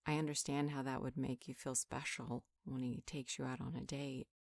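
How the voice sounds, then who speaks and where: A woman performs a calm female healer for a fantasy roleplay audio. Her voice sits low and is recorded dry and close to silent of any room. She counsels in a measured, conversational way, reasoning things through and reassuring as she goes. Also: creaky, no room sound, heavily acted. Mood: gentle reasoning. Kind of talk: conversational roleplay monologue, one side of a dialogue.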